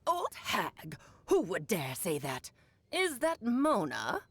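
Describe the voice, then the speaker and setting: A woman's voice: mid-range voice, huge pitch swings, takes it slowly, husky, no room sound, full character voice. An actress playing a playful, eccentric mother witch, coy and grandly formal, in a video game cutscene. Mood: mock haughty.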